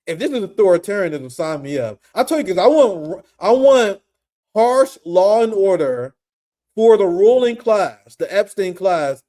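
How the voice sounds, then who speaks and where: Profane, animated man, higher voice. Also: measured pace. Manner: animated, profane, laughs often. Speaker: political commentary host. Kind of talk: casual livestream commentary.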